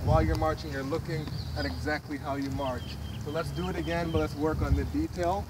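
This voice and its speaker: A man coaching a youth drill team in an outdoor parking lot, earnest and repetitive, heard on a phone camera. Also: a little high. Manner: earnest, repeats instructions with many fillers. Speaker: drill team member giving feedback. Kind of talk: casual coaching talk. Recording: distant phone audio, loud outdoor noise.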